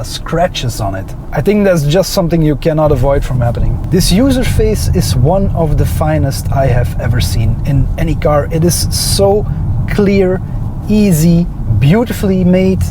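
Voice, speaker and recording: A man's voice: a little high, noisy background. A man with a Dutch accent and Dutch as his first language, narrating an enthusiastic, opinionated car review with frequent "uh" fillers.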